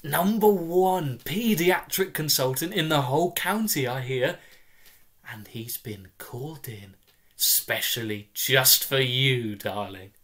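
A man's voice: medium pitch, animated, takes it slowly, fairly bright tone. Man playing a relentlessly chirpy, cooing mum. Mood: chirpy, impressed. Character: relentlessly cheerful, doting middle-aged mother.

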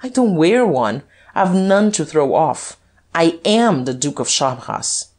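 Woman as a suave, taunting gentleman duke, husky. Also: low voice, big pitch swings, a little slow, full character voice. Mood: cool, light. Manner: taunting, coolly superior. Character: suave, cool, scornful aristocratic gentleman duke. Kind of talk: dramatized audiobook reading.

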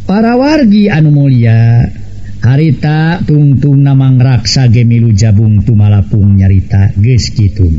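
Mellow Sundanese male storyteller. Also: mid-range voice, expressive, measured pace, muffled recording. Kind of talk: folk tale narration, addressing listeners.

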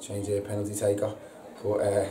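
Man in his thirties, post-match manager, hesitant and repetitive, low even voice, far mic in an echoey noisy room. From England. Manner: halting, repeats words, frequent ums. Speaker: football manager. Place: indoor press room before a sponsor board. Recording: echoey room, distant mic, audible hiss.

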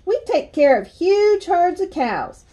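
A woman's voice: very high-pitched, sing-song, slow pace, mellow, muffled recording, voice pushed up, full character voice. A woman voicing a friendly, hardworking ranch cowgirl in a storybook, with a folksy manner.

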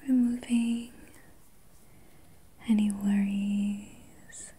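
Woman doing asmr relaxation, super breathy whisper, really slow and soothing, soft mellow tone. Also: medium pitch, level tone. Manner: soothing, slow, gentle personal attention. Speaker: ASMR host and reiki practitioner.

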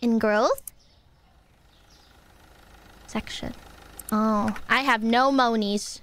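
A woman's voice: on the high side, fairly even intonation, drawn-out words, fairly bright tone. A young woman streaming a game, chatting casually with her viewers, playful and easily exasperated. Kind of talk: casual live-stream gameplay chat.

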